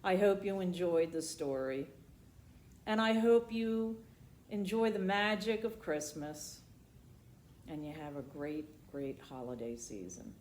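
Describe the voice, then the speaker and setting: A woman reading a children's picture book aloud as storytime host, expressive and dramatic, pausing for asides and questions to her young listeners. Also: lowish voice. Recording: roomy echo, noticeable background noise.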